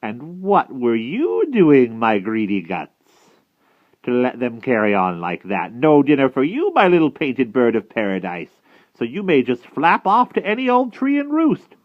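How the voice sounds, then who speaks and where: A man's voice: mid-range voice, huge pitch swings, measured pace, dry recording, phone-quality sound, pitched above the performer's own voice. A man voicing a cruel storybook witch, an older woman who gloats and coos with theatrical menace. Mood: scolding. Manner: theatrical cooing, gleefully menacing.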